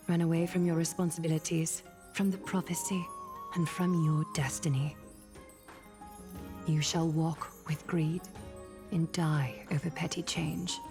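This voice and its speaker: Solemn aristocratic woman, game character, low register, measured, stern, music behind. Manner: formal, measured, commanding. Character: noble, solemn demigod dressmaster woman, stern and composed. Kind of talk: video game character acting.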